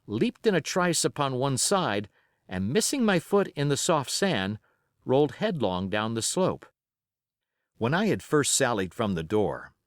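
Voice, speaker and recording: Guy reading an adventure audiobook, dramatic and expressive, really lively intonation, close dry sound. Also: mid-range voice, dry recording. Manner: expressive, measured storytelling. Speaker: audiobook narrator. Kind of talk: dramatic scripted narration of an adventure novel.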